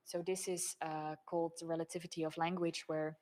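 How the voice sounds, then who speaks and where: A young woman whose first language is Dutch, speaking thoughtfully in an interview about her design work and pausing to find her words. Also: sits low, flat delivery, darkish tone. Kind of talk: relaxed conversational interview.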